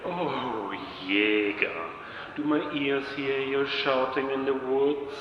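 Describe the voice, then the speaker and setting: A man playing a taunting, self-assured werewolf leader over an echoing loudspeaker in an audio drama. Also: medium pitch, highs cut off. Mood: taunting, playful menace. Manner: very slow, deliberate, mocking. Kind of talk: cinematic audio drama acting. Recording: heavy echoing reverb, faint noise.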